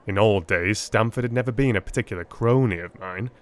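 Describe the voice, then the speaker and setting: A man playing a weary Victorian army surgeon recounting his memoirs in a measured, formal, theatrical audiobook reading. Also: lowish voice, natural voice. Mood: measured, grave. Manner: measured, formal, literary delivery. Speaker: audiobook narrator.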